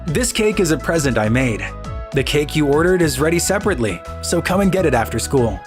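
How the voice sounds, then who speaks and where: A man's voice: a little high, big pitch swings, fairly quick. A man playing an earnest, kind schoolboy who narrates his own story in scripted animation style. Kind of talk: scripted narration and voiced dialogue. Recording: music behind the voice.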